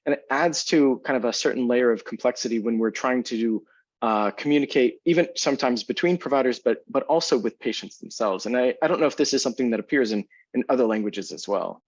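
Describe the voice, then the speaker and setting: A man's voice: medium pitch, a little fast, dry recording, dull audio. A man, a physician on a panel, speaking thoughtfully and searching for words, often restarting his sentences over a video call. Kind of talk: panel discussion.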